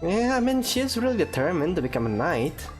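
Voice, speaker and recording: A woman giving relaxed, chatty commentary while playing a game, explaining with giggles. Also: lowish voice, brisk. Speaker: gameplay commentator. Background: game music.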